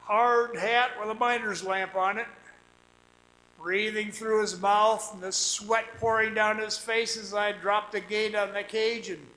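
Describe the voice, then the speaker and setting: An actor playing a cocky young mine guide in a radio drama, folksy and coaxing, moving between narration and dialogue. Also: male voice, sits high, fairly even intonation, unhurried, bright, forceful tone, low-quality recording. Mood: reminiscing. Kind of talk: old-time radio drama acting, part narration, part dialogue.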